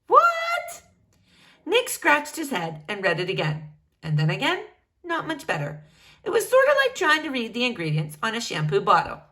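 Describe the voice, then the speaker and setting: Lively woman reading a story to kids. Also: fairly high pitch, normal speed. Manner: animated, expressive, singsong address to kids. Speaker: teacher reading aloud to children. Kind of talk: children's read-aloud storytelling.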